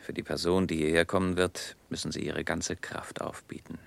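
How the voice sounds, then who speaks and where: An actor in a German radio drama playing a suave, calculating gentleman with a courteous, measured manner. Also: sits low, level tone, creaky, heavily acted. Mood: urgent, courtly.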